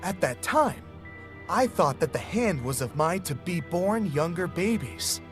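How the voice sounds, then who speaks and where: Male narrator, scripted storytelling, a bit high, animated pitch, music bed. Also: measured pace. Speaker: narrator of a played story video.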